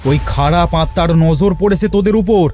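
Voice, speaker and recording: Cartoon father played by a man: worried villager giving firm, earnest advice, slightly high pitch. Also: a little fast, warm tone, faint music behind, natural voice. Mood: alarmed, urgent.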